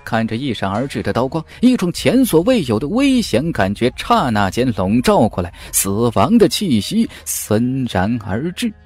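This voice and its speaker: Man, audiobook narrator, lively swooping delivery, dramatic telling, faint music underneath. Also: medium pitch, moderate pace, hardly any pauses, husky. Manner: animated, dramatic storytelling. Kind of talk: dramatic fantasy novel narration.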